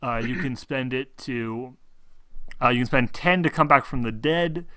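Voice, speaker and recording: A man's voice: mid-range voice, unhurried, crowd noise. A man acting as game master, narrating and explaining rules in a casual, rambling, informal way.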